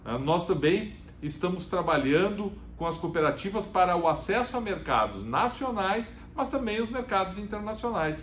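A man in his fifties, a government official, giving a prepared, formal talk to camera from his office desk, filling pauses with short "eh" sounds. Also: sits high, very animated, a bit bright. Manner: measured, formal, frequent "né" and "eh" fillers. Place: office, indoors, seated at a desk. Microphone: fixed camera at desk distance. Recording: echoey office room, faint hiss.

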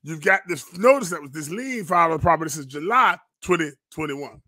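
A man delivers commentary in a preacher's cadence. His voice is bright and forceful, recorded dry and close. He sounds indignant and emphatic, hammering phrases by repeating them. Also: on the high side, expressive, fairly quick, no room sound. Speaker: pastor and online show host. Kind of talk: fiery live-stream commentary, preacher's cadence.

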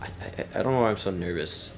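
A man's voice: deep voice, a little fast, vocal fry, over music. A man chatting and calling out moves to a friend while playing a co-op shooter. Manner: chatty, self-mocking, calls out moves. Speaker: co-op gamer. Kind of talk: casual banter while playing.

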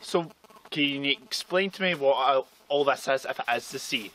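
Young man, mid-pitched, casual questioner outdoors. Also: normal speed, sharp tone, low-quality recording. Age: young adult. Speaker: learner asking questions. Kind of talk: casual questions and brief acknowledgements. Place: outdoors at a quarry rock face.

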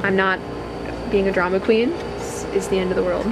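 A woman's voice: medium pitch, normal speed, rough recording. Teen girl mourning a snack shop in mock tragedy. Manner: deadpan mock-tragic, rambling with many "you know"s. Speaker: vlogger. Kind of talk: mock-dramatic vlog. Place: car interior, then a store. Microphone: handheld camera at arm's length. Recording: loud background noise from car and store.

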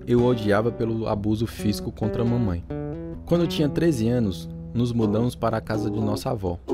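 Actor as reflective adult male narrator, sober, medium pitch, radio drama, clear music bed. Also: natural voice. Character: grown man soberly recalling his troubled youth. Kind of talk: scripted first-person narration.

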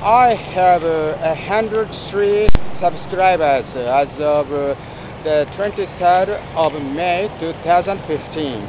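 Man, Japanese accent, slightly high voice, hesitant English, handheld camera outdoors. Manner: halting, many "uh" fillers. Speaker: travel vlogger. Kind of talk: casual vlog to camera. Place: outdoors on a town street. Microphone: handheld camera at arm's length. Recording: tinny phone-like sound with street noise.

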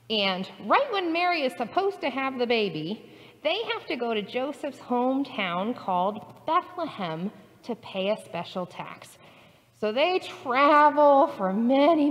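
Lively woman pastor telling a story in an echoey church. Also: on the high side, measured pace, lo-fi sound. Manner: animated, storytelling to small children. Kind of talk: telling a Bible story to preschoolers. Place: church sanctuary. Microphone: far camera.

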